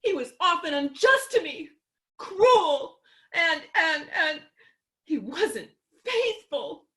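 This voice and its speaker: Actress as young widow, stage comedy: very high voice, unhurried, melodramatic, imperious in her grief. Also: big pitch swings, bright, forceful tone, full character voice. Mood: tearful devotion. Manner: theatrical, drawn-out lamenting.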